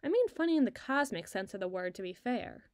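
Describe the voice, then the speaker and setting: Casting: woman performer as wry, bossy female spy for roleplay audio, higher-pitched, wordy and teasing, dry close sound. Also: lively intonation, a little fast, a little mellow, no echo, natural voice. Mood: hushed, conspiratorial. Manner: wry, wordy, teasing, flustered when challenged. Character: sardonic, fast-thinking female secret agent, bossy boss to scientists. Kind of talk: ASMR roleplay audio drama.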